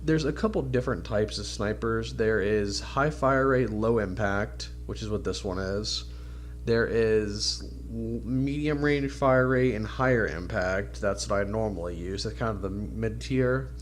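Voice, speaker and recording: A man's voice: lowish voice, unhurried, slight background noise. A man with a stuffed-up, nasal voice from a cold, giving casual, informal video game commentary as a channel host.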